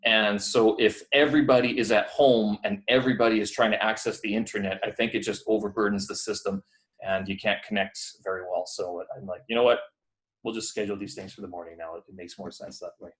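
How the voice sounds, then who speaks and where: Low-voiced, rambling man hosting. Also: fairly even intonation, brisk, a bit bright, rough recording. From Canada. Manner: rambling, filler-heavy, friendly. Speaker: podcast host. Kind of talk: casual interview chat. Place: building away from home. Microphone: headphones on a video call.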